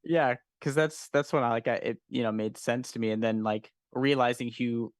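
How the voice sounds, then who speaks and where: A young man talks as a livestream co-host in an informal, enthusiastic way. His voice is mid-pitched and the recording is dry, with very little room sound. He leans on slang and the odd swear word as he chats. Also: steady tone, normal speed, no echo. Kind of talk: casual chat about films.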